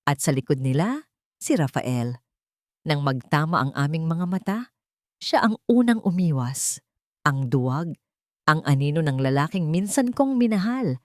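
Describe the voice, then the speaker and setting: A woman's voice: deep voice, expressive, measured pace, pauses often, a little mellow, no echo, studio quality. A woman reading a scripted first-person story in a dramatic, solemn manner. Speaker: narrator.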